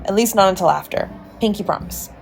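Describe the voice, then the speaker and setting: A woman's voice: mid-range voice, fairly quick, creaky, close to an everyday voice. Woman playing a playful, flirtatious charmer, music behind. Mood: playful, flirty. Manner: teasing, coy, chatty, mock-apologetic. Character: charming, playfully flirtatious adult party guest, smooth-talking stranger. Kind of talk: romantic audio roleplay, intimate one-sided dialogue to the listener.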